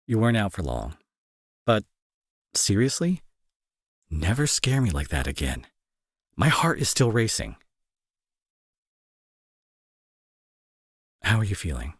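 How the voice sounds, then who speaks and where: A man acting in an intimate audio roleplay as a gruff young bully who is secretly worried and protective, talking to the listener with sarcasm and exasperation. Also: fairly low pitch, very animated, moderate pace, pauses often, dry recording, clean studio sound, heavily acted. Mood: worried, guarded.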